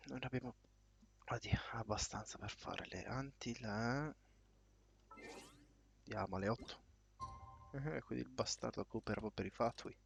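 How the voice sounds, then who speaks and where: A man giving casual live commentary as a game streamer, muttering offhand in a husky, creaky voice. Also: medium pitch, normal speed, pauses often, a little hiss or hum. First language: Italian.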